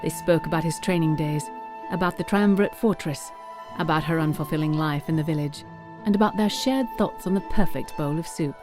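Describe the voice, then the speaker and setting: Fantasy drama narrator, woman, lower register, theatrical storytelling delivery over a clear musical bed. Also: fairly quick, music in the background.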